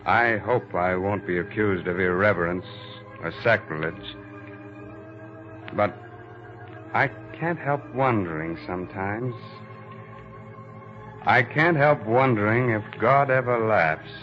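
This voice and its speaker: An actor playing an earnest, reflective ship's doctor, narrating solemnly and deliberately in a 1940s radio drama. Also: male voice, lowish voice, soft background music. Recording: muffled vintage 1940s radio recording.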